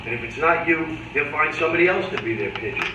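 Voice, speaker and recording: A man's voice: fairly high pitch, animated, quick pace, close to an everyday voice. Man playing a blunt boxing manager, echoey room. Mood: stern, warning. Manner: blunt, cautionary, matter-of-fact. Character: world-weary, blunt boxing manager, lecturing his fighter. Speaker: actor in a staged reading. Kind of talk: staged reading of a boxing drama. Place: live staged reading in a roomy indoor space. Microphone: distant video camera. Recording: echoey, noisy room, distant camera microphone.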